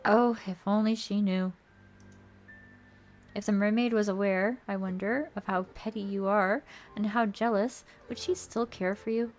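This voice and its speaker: Woman streamer with mid-pitched voice over music. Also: steady tone, unhurried. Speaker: streamer and narrator. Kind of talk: visual novel read-aloud narration with casual stream chat. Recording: music playing behind the voice.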